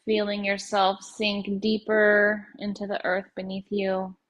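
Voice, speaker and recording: Woman guiding meditation in an even, unhurried voice. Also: medium pitch. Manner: slow, unhurried phrasing with gentle pauses. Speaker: yoga nidra teacher leading a guided meditation. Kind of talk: guided meditation.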